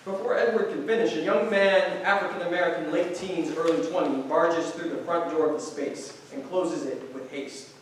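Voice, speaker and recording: A man narrates stage directions from a script. His voice is fairly high and sounds distant, in a very echoey room with faint noise. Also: fairly even intonation, moderate pace, hardly any pauses.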